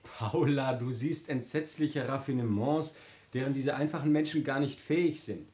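An actor playing a breezy, candid young gentleman in a classic radio play, frank and lightly ironic. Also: mid-range voice, normal speed, lightly acted. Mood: frank, firm.

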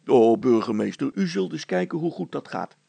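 Guy as a calm handy adventurer type, husky and creaky, matter-of-fact, coaxing along. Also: medium pitch, normal speed, dry recording, exaggerated voice. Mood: confident, coaxing. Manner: matter-of-fact, gently teasing, encouraging.

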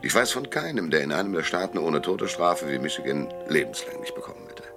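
An actor playing a cynical private detective in a German radio crime drama, narrating and talking in a dry, laconic, sardonic way. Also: male voice, low voice, wildly swooping pitch, fast talker, over music, full character voice. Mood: wry, pondering. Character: hardboiled, cynical male private detective, narrator of his case.

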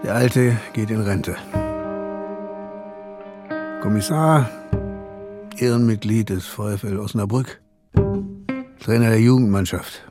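Wry older police inspector, music behind. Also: male voice, on the low side, takes it slowly, pauses often. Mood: wry, matter-of-fact. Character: gruff old male police inspector nearing retirement. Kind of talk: German radio drama acting.

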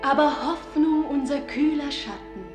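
Loving female nature spirit, very high voice, lyrical pleading chant, music behind. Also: a little slow, reverberant. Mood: beckoning. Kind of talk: German radio play acting.

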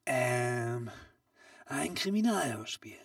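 Female actor as shady radio-play peddler: slow, conspiratorial, secretive, swooping between murmurs and sales patter. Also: a bass voice, big pitch swings, gravelly, dry recording, studio quality, recorded from a distance, heavily acted. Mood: coaxing. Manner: conspiratorial hushing, coaxing, often dropping into whispers. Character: sly, secretive street peddler hawking invisible goods. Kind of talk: comic sketch audio play.

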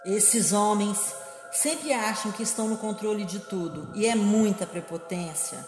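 A woman's voice: medium pitch, measured pace. Echoing ghost woman voiced by an actress. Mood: weary, solemn. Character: weary, grateful ghost of a woman seeking rest. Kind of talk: amateur audio drama acting. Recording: echoey long reverb, music behind.

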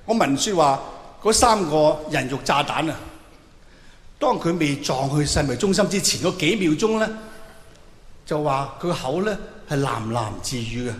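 A man's voice: fairly high pitch, lively intonation, normal speed. A middle-aged man with a Hong Kong Cantonese accent performing a stand-up monologue, colloquial and theatrical, throwing questions out to his listeners. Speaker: stand-up comedian. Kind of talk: stand-up comedy monologue to a live audience. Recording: echoey hall with long reverb, faint noise.

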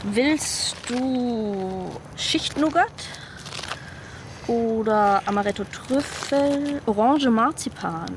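A disillusioned former radical. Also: female voice, a little high, lively intonation, extremely slow, noisy background. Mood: gentle. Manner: earnest, reflective, speechifying. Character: disillusioned older ex-radical woman, wistful. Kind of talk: radio drama acting.

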